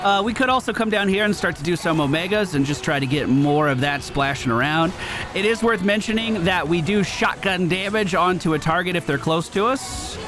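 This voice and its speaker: A man's voice: high voice, lively intonation, fairly quick. A man hosting a gaming video, giving upbeat running commentary on his own play. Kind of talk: gameplay commentary. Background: video game music.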